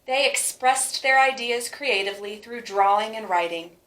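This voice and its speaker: A woman reading a children's storybook aloud with expressive, emphatic phrasing for young listeners. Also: a little high, moderate pace, roomy sound, rough recording. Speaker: teacher reading a picture book aloud.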